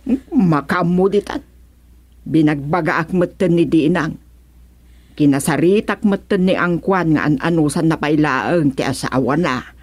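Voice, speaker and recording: A woman's voice: low-pitched, unhurried, a little mellow, no room sound. A woman playing a stern, concerned mother who admonishes, in an Ilocano radio serial drama. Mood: resigned. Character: stern, concerned mother scolding her grown child.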